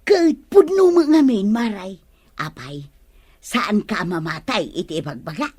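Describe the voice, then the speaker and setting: Nagging, animated village wife. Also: female voice, mid-range voice, measured pace, slightly rough. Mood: gossipy, teasing. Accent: Ilocano. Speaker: radio drama actor. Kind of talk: Ilocano radio comedy drama.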